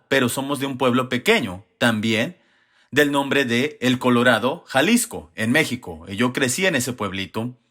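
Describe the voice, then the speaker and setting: Un hombre de mediana edad de México, con acento mexicano, que habla como director ejecutivo en un panel, contando su historia de forma pausada y reflexiva. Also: male voice, mid-range voice, fairly bright tone. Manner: measured, reflective storytelling. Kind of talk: panel conversation.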